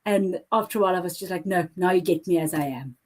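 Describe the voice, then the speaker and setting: A woman in a casual video call chat, animated as she tells her story. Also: lowish voice, quick pace. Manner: animated storytelling, acts out quoted people. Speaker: guest member.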